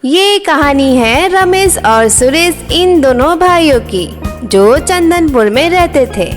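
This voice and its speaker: A woman's voice: high voice, lively intonation, slow pace, soft background music. A woman giving scripted narration for a children's story.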